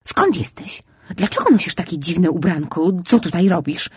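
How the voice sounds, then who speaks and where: A woman's voice: fairly low pitch, animated, moderate pace, breathy edge, no echo, studio quality. A woman voicing a kind, curious seven-year-old boy who asks earnest questions and reassures a younger child.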